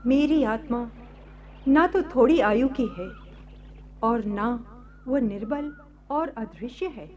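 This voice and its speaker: A woman's voice: fairly high pitch, darkish tone. A woman playing a young, fervent, resolute female disciple in a radio play, declaiming solemnly on a dull, lo-fi recording. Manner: solemn, earnest, deliberate declamation.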